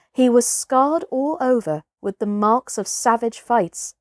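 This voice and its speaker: Female children's audiobook narrator: higher-pitched, highly animated, theatrical delivery. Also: dry recording, clean studio sound. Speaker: storyteller, actress reading aloud.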